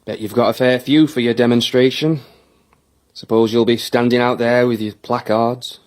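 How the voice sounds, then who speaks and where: Actor as terse older man, gruffly fond. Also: medium pitch, steady tone, normal speed, a little mellow, natural voice. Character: older Yorkshire man, terse, gruffly affectionate old friend. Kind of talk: naturalistic audio drama acting.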